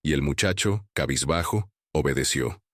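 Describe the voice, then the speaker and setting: A man with an extremely deep, low voice narrates horror tales in a grave, measured way. The recording is dry and close, with no audible room. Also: animated, dry recording. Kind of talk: scripted horror storytelling.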